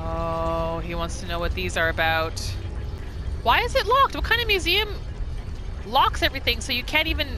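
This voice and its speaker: A woman hosting a gaming video, narrating a roleplay in an excited, conversational storytelling way. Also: fairly high pitch, moderate pace. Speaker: host of a gaming video series. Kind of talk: lively narrated roleplay let's play. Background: background music.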